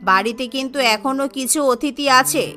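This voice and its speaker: A woman's voice: high voice, normal speed, sharp tone, faint music behind, pitched below the performer's own voice. A woman voicing a snobbish, scolding middle-aged rich mother in a story, with a haughty, disapproving manner. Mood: annoyed, contemptuous.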